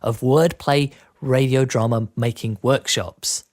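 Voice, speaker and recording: A man's voice: medium pitch, steady tone, slow pace, a little mellow. A man co-hosting a podcast in a scripted presenting style. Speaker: podcast co-host.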